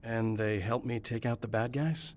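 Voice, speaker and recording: A man's voice: mid-range voice, steady tone, normal speed, lightly acted. An actor playing a weary, determined male horror novelist with a dry, matter-of-fact delivery in a game cutscene. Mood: wry. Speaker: writer, protagonist.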